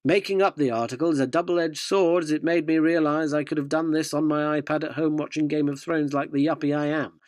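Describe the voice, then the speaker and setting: A cheeky, cynical yuppie journalist, played by a man in a radio drama. His voice sits on the high side and is recorded very dry, with a wry, provocative delivery in both narration and dialogue. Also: fairly even intonation, a little fast, hardly any pauses, darkish tone, no room sound, close to an everyday voice. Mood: wry narrating.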